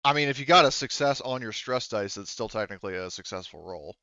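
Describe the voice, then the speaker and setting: A man with a smooth, fairly low voice talks players through a tabletop game as game master. He is informal and conversational. The sound is dry and a little dull. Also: lively intonation, quick pace, pushed and bright, no room sound. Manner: informal, swears casually. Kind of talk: casual explaining of tabletop game rules.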